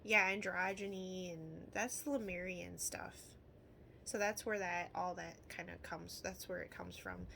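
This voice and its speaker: A woman's voice: lowish voice, fairly even intonation, measured pace, creaky, sharp tone. A woman from Illinois with a Midwestern American accent, talking in a casual, rambling vlog style with frequent trailing asides, recorded with some noise. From Illinois, United States.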